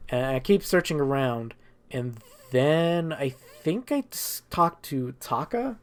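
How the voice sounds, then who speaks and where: A man's voice: mid-range voice, lively intonation, takes it slowly. A man giving a casual, unscripted video game recap in a rambling, slangy way with plenty of swearing. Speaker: video creator.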